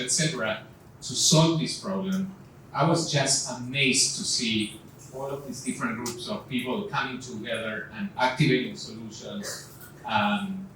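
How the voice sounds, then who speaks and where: A man's voice: medium pitch, fairly bright tone. A man moderating a live panel, talking with many fillers and restarts. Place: event venue. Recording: echoey room, far from mic, faint noise.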